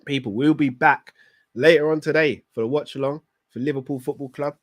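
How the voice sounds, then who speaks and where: A man's voice: a little high, fairly even intonation, a little fast. A man hosting a football recap channel, talking casually and emphatically with strong opinions, his voice bunged up from a cold. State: congested from a cold.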